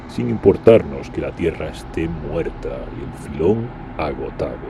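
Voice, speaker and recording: A man playing a scarred, ambitious Iron Warrior, speaking in a low, measured, bitter and commanding way, in a fan audio drama. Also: background noise.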